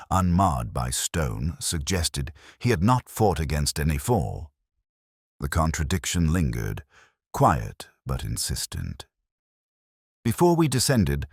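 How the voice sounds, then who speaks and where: A man playing an ageing, melancholy police inspector who narrates a detective story in a measured, literary, reflective manner. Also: rumbling low, husky, dry recording, studio quality, exaggerated voice. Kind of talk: scripted first-person detective story narration.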